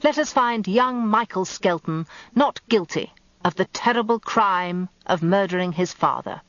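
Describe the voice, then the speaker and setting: A woman voicing a shrewd, theatrical old male barrister in measured courtroom oratory with dramatic pauses, for radio drama. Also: mid-range voice, lively intonation, a bit bright, no room sound, lo-fi sound. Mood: insistent, persuasive.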